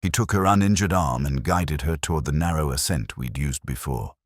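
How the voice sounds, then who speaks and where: A man's voice: extremely low pitch, moderate pace, no room sound, studio quality. A man reading scripted, dramatic mystery narration as an audiobook narrator.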